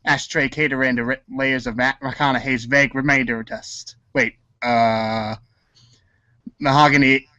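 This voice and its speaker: A man's voice: medium pitch, fairly even intonation, measured pace, pushed and bright, no echo, dull audio. A man acting in a comic reader's theater show, goofing around and stumbling through his lines with ad-libs. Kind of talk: comic table read with ad-libs.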